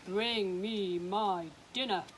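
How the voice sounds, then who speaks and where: A woman voicing a big, gruff, greedy male giant in a children's story, speaking slowly and loudly over background noise. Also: medium pitch, natural voice. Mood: booming. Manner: slow, loud and drawn out.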